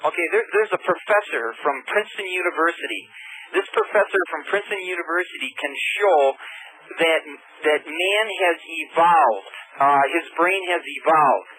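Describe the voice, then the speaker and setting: A man calling a radio show by telephone, explaining his views in an earnest, halting, persistent way. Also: a little high, sharp tone. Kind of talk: live phone-in debate. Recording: faintly noisy radio phone-in call.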